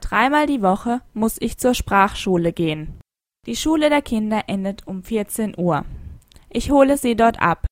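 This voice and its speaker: A young adult woman, an au pair, reading a short scripted account of her day in a clear, even way. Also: on the high side, measured pace, no room sound, clean studio sound. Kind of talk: scripted monologue for a listening exercise.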